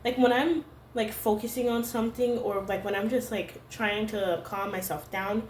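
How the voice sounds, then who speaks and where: A woman's voice: medium pitch, darkish tone, slight background noise. Hesitant young woman in an echoey room. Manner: hesitant, halting, heavy use of "like" fillers. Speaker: vlogger. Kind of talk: personal confessional vlog. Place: room at home. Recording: roomy home echo.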